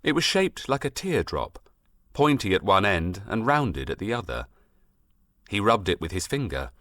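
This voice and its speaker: A man reads a storybook aloud in a fairly low voice with lively, sweeping intonation. His delivery is measured and expressive, shaped like literary storytelling. The sound is dry and close, with very little room around it. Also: no room sound. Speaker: audiobook narrator. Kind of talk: scripted storybook narration.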